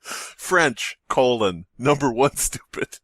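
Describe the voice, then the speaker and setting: A man co-hosts a comedy podcast with a lively, swooping delivery. He riffs loosely and slips into mock quotes and bits. The sound is close and dry. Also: mid-range voice, slow pace, dry recording. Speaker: podcast co-host. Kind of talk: casual comedic banter.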